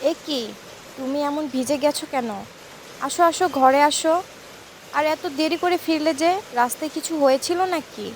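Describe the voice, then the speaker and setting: A woman's voice: high voice, moderate pace, a little hiss or hum, close to an everyday voice, poor audio. A woman playing a caring village wife in a cartoon story, with a homely, fussing, concerned way of talking. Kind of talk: Bengali cartoon story acting.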